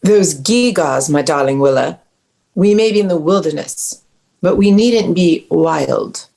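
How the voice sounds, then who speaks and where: A woman's voice: on the low side, measured pace, vocal fry, mellow. A woman playing a grandiose, genteel mother and faded stage actress at a screenplay table read, with affected, formal, precise diction. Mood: haughty.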